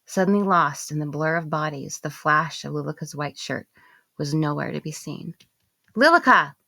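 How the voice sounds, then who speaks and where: A woman reading scripted first-person literary narration in a measured, expressive way, with phrases falling away softly. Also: low-pitched, creaky, no echo. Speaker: audiobook narrator and author.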